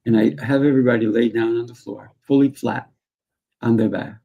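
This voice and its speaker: A man who teaches martial arts, speaking in a calm, soft guiding way as a podcast guest. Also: medium pitch, brisk, dull audio. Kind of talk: conversational interview talk.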